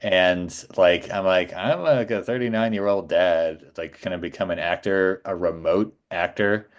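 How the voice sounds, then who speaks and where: A man of about forty talking casually as a podcast guest, halting and reflective. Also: low-pitched. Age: around 40. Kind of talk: casual interview chat.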